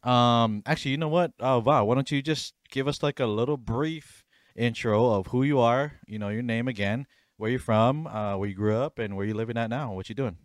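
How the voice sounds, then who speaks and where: A man's voice: medium pitch, fairly quick, no room sound, studio quality. A young adult man hosting a podcast, talking casually, jokingly and repeating himself as he goes. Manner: rambling, repeats himself, jokey. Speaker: podcast host.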